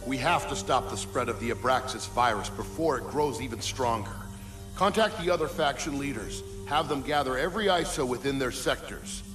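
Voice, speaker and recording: An actor playing a calculating ruler in a video game who poses as an earnest ally, measured, solemn and persuasive. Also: male voice, medium pitch, natural voice. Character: calculating male villain ruler program posing as earnest ally. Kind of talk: video game cutscene acting.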